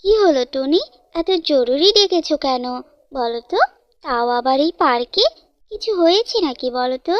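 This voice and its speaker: Woman voicing a high-voiced devoted young boy bird. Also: lively intonation, normal speed, no room sound, dull audio. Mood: concerned. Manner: earnest, reassuring. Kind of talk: cartoon fairytale dialogue.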